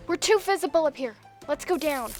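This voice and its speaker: A woman voices a resourceful teenage magical girl in a fan dub. Her voice is high and earnest, and loud music plays behind it. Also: expressive, brisk. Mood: anxious, urgent. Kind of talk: fan dub character acting.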